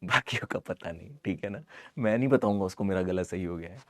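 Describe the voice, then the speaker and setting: A man with a hoarse voice teaches an informal live online maths class, teasing and scolding his students and repeating phrases for emphasis. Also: sits low, quick pace, no room sound. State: hoarse, sore throat.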